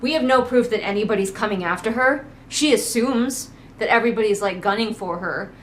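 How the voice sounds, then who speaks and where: A woman's voice: mid-range voice, fairly quick. Female book-critic host, casual and sarcastic, exasperated mocking delivery, faint background noise. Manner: exasperated, mocking asides and acted-out quotes. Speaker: book critic hosting a readalong. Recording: noticeable background noise, train outside. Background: ambient noise, passing train at times.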